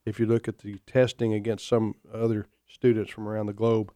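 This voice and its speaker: A man in his fifties hosting a radio talk show, speaking in a folksy, opinionated way with many halting fillers. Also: lowish voice, level tone, warm tone, no room sound. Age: 50s.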